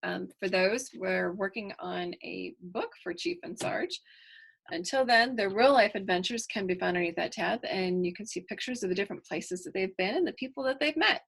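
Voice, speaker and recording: A woman's voice: medium pitch, expressive, moderate pace, creaky, fairly bright tone, breathy edge, poor audio. A woman reading aloud for children, chatting playfully and directly to young listeners. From United States, Texas. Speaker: storyteller reading picture books aloud.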